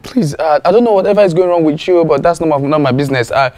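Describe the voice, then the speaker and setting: A man acting as a polite, hesitant Nigerian visitor in a film drama, speaking haltingly. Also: fairly high pitch, lightly acted. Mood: hesitant, evasive. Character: polite, hesitant male visitor seeking a woman. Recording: music playing under the dialogue.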